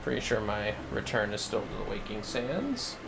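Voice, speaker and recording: A man's voice: sing-song, moderate pace, gravelly, background noise. A man livestreaming a game, muttering casual, wry, offhand commentary to himself. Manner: low-key, wry, offhand.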